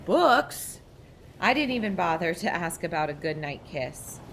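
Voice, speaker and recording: A woman narrates a children's storybook in an expressive read-aloud style. Her pitch moves in lively swings and her pace is unhurried, over some background noise. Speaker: storybook reader.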